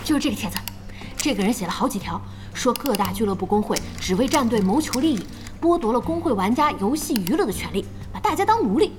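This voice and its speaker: A woman playing a spirited young gamer in an audio drama, chatty, expressive and inquisitive. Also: on the high side, quick pace, music in the background. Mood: curious. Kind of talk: audio drama acting in dialogue.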